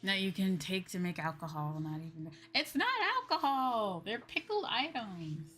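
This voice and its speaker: A woman livestreamer chatting casually while she plays, sometimes musing aloud in a drawn-out, sing-song way. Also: medium pitch, animated, fairly bright tone. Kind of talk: casual game-stream chat. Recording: music behind. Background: game music.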